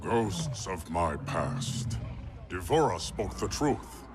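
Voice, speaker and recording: A man's voice: sits low, very animated, very slow, noisy background, full character voice. A man acting as a grave, proud, battle-hardened warlord in a video game cutscene. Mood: grave, wary. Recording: distant voice under clear game music.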